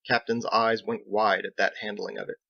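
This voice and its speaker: A man's voice: fairly low pitch, level tone, moderate pace, creaky, no room sound, highs cut off. A man reading his own story aloud as scripted narration.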